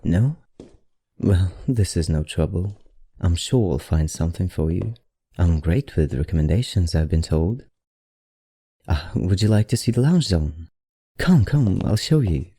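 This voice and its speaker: Man acting as ancient male vampire librarian, very mellow dark voice, unhurried, genteel and teasing, put-on accent, ASMR roleplay. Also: deep voice, expressive, breathy edge, full character voice. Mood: genial, proud. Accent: put-on, not his own. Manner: genteel, old-fashioned, softly teasing. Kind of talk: ASMR roleplay, addressing the listener.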